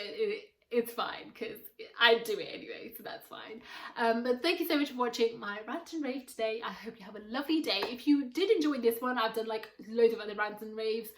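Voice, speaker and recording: A young woman whose first language is Urdu talks in a loose, rambling vlog manner, full of fillers and restarts. Her voice sits a little high, and the room around her has a noticeable echo. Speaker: video blogger reviewing a series. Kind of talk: casual review vlog. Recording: echoey room.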